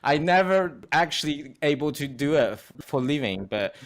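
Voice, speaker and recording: Lively Chinese-born man, halting English. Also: fairly high pitch. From China. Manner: lively, laughs often, halting non-native English. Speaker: guest artist. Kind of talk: casual interview chat.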